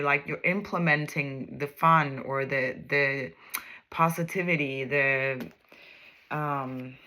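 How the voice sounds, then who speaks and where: Woman, Danish accent, native Danish speaker, tarot reader, casual spoken reading, bright clear tone, rambling, searching for words. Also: deep voice. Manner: rambling, searches for words, keeps asking "okay?".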